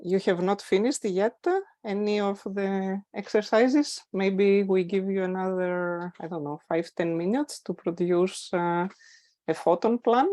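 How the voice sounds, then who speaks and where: A woman's voice: mid-range voice, a little slow, mellow. Polite woman moderating an online workshop. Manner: polite, encouraging.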